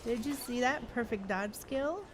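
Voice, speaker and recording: A woman's voice: on the high side, moderate pace, noisy background. A woman chatting casually as she streams a game, with a playful, exclaiming way of talking to viewers. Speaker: livestream host. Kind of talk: casual gameplay livestream chat.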